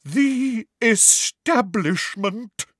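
A man's voice: very high-pitched, normal speed, a bit bright, dry recording, clean studio sound, voice pushed up. A man voicing a melodramatic, indignant woman divination teacher in a wavering, emotional voice for a storybook reading. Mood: grandly tragic. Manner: dramatic, wavering, throbbing with emotion.

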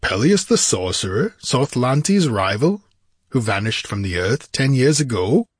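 A man's voice: medium pitch, big pitch swings, measured pace, breathy edge, no room sound, clean studio sound. A man voicing a bold, plain-spoken barbarian king in a fantasy audiobook. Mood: demanding, amazed.

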